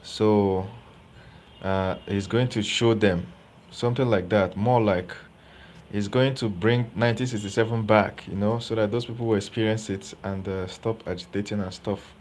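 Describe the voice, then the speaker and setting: A man's voice: lowish voice, steady tone, normal speed, a little mellow, slight background noise. A man giving live political commentary as host, chatty and often checking in with viewers. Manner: conversational, asks viewers rhetorical questions.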